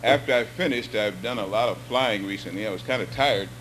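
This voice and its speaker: Male lecturer, middle-aged, lowish voice, faint hum behind, podium talk. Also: a little fast, a bit bright, low-quality recording. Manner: conversational, rising to emphatic points. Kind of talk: public lecture. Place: hall stage at a podium. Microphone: stand mics on stage.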